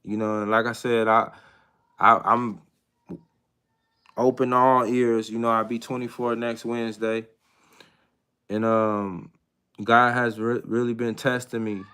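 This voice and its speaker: A man in his thirties hosting a casual live chat with viewers, talking in a hesitant, reflective way with many pauses and restarts. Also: medium pitch, little pitch movement, bright, forceful tone, clear, resonant tone. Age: 30s. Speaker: livestream host.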